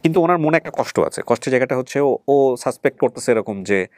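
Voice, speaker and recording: A man's voice: medium pitch, expressive, fast, no room sound, clean studio sound. A man with a Bangladeshi accent hosting and interviewing conversationally, explaining things and probing with rephrased questions. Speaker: show host. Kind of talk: conversational interviewing on a family reunion show.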